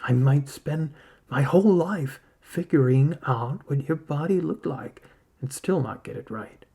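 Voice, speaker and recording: A man's voice: a little high, animated, unhurried, gravelly, dark tone, husky, exaggerated voice. A man voicing a wily, reluctant male arctic hunter in a story, who reasons and bargains step by step. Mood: worried. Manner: argues step by step, rhetorical questions.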